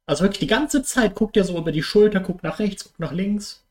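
A man's voice: sits high, brisk, a little mellow. Man teasing a video in casual chat. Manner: mocking, teasing commentary. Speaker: commentator reacting to a video.

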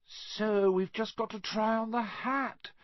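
A man's voice: high-pitched, steady tone, slow pace, no echo, pitched above the performer's own voice. A man doing a nervous boy grumbling. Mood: whispering. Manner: hushed grumbling whisper. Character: nervous young boy student, gloomy. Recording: dull muffled audio.

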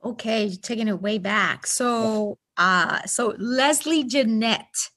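A woman's voice: on the high side, takes it slowly. A woman from Puerto Rico, a school superintendent, telling stories in a chatty interview. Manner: chatty, warm storytelling with fillers. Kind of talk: casual interview.

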